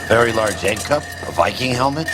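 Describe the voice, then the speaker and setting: An actor playing a gruff, sardonic detective in a video game cutscene, with a dry, wisecracking manner. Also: male voice, lowish voice, animated, fairly quick, over loud music, natural voice, rough recording. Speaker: game character, detective partner.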